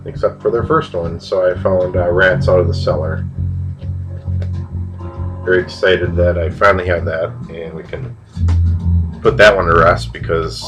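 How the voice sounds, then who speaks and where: Man, very low voice, nasal from a cold, rambling vlog chat, guitar music behind. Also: rough recording. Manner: rambling, halting, many fillers and restarts. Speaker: vinyl record collector showing finds. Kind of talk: casual video vlog.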